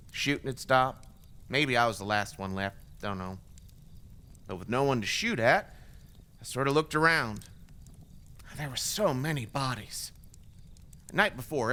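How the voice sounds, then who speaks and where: Blunt, bitter ex-extremist man with a forceful edge. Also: mid-range voice. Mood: haunted, broken. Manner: blunt, profane, conversational, wry. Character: remorseful, bitter former extremist gunman confessing his past. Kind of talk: dramatic monologue in audio drama, told as interview testimony.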